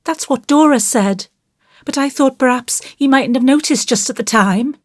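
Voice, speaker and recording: A woman's voice: sits high, normal speed, no room sound, clean studio sound, voice pushed up. A woman voicing an earnest, naughty but penitent little boy in an audiobook, pleading and blurting in childlike, colloquial speech. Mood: miserable, confessing. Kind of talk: audiobook character voice.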